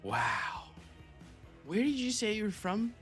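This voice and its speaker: A man's voice: high voice, wildly swooping pitch, slow, a bit bright, faint music behind, heavily acted. A man playing a savvy, blunt young boy, a jaded little brother, in a live comedic table read. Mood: alarmed, amazed.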